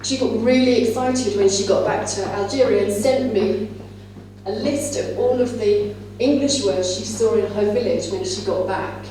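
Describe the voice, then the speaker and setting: A woman's voice: medium pitch, normal speed, dark tone, faint music behind. Female conference speaker, teacher trainer, conversational and explanatory, heard from afar in a very reverberant room. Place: large indoor conference room. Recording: echoey hall, distant mic, faint hum.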